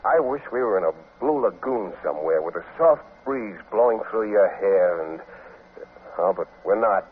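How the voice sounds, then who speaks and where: Bashful, halting teacher, tinny radio. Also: male voice, lowish voice, expressive, slightly rough. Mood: flustered, earnest. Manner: hesitant, stammering, wordy. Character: bashful, earnest male biology teacher and coach. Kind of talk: 1950s radio comedy acting. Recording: tinny old radio broadcast, faint noise.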